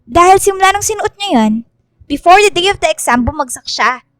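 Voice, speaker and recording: A woman's voice: very high voice, expressive, a little fast, no room sound. A woman playing a snobbish, scheming young mean girl in a radio drama, haughty and taunting, mixing English into her lines. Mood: taunting, scornful.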